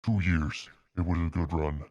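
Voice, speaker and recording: Very deep-voiced man, melodramatic reading. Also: fairly even intonation, moderate pace, darkish tone, no room sound, muffled recording. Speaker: streamer reading fan fiction. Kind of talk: dramatic narration with casual asides.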